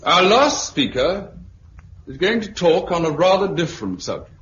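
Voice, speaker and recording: An actor playing a brisk, courteous British man chairing a meeting in a formal 1950s radio drama style. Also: high voice, sing-song, a bit bright. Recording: muffled, echoey 1950s radio recording.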